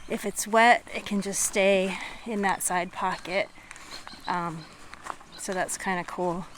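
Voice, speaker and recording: A woman chatting casually to viewers in an unscripted, musing way with trailing pauses, as a hiking vlogger recording outdoors. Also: medium pitch, fairly even intonation, fairly bright tone. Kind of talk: casual vlog chat to viewers. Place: outdoors, on a walk and at a tent setup. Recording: noisy outdoor camera recording with natural ambience.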